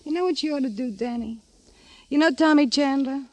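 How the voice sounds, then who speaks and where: Actress as a jaded, high-voiced tough dame. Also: female voice, a little fast, darkish tone, natural voice. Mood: sly, knowing. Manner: slangy, tough, knowing. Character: jaded, possessive woman, murdered dance hall girl's roommate. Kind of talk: 1950s radio noir acting.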